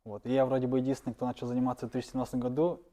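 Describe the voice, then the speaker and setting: A young man, a stand-up comedian, answering interview questions casually and letting his phrases trail off quietly. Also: medium pitch, monotone, rapid-fire.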